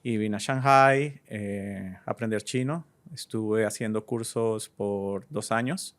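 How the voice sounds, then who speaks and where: A Colombian man from Cúcuta in his thirties, answering interview questions in an easygoing, hesitant way with many pauses. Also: on the low side. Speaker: guest DJ and entrepreneur.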